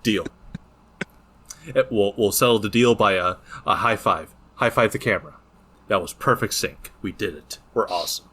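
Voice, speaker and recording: Male podcast co-host, lowish voice, casual and joking, comfortable with coarse language. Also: moderate pace, pushed and bright. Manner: profane, joking banter. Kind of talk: casual chat.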